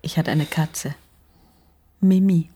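A woman's voice: deep voice, steady tone, takes it slowly, mellow, breathy edge, exaggerated voice. An actress playing a shrewd, coaxing wife who works on her lover, in a naturalistic radio crime drama. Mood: tender.

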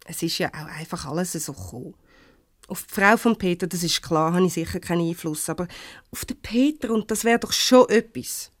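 A woman playing a warm-hearted, defensive divorcee in a Swiss German radio comedy, conversational and a little hesitant. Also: on the low side, lively intonation, a little fast, dry recording, studio quality. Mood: earnest. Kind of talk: naturalistic 1970s radio comedy acting.

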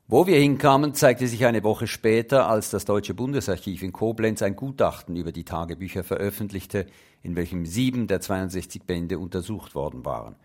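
Older correspondent recalling events. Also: male voice, sits low. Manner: reflective, measured storytelling. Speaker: radio correspondent. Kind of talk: radio news feature narration.

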